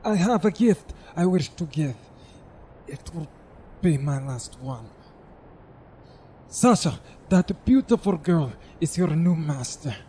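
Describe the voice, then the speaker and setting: An actor playing a dying, gentle old man with a raspy voice and halting, solemn delivery in an audio drama. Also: a little high, lively intonation, a little hiss or hum. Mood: gentle, earnest. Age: older. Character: dying gentle old man who loves his pets.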